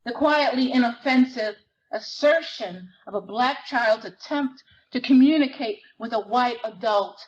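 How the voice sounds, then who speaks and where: A woman reading literary fiction dramatically, in measured, deliberate phrases with weighted pauses. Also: a little high, dull audio. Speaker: dramatic reader at a library performance. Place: live reading at a library event.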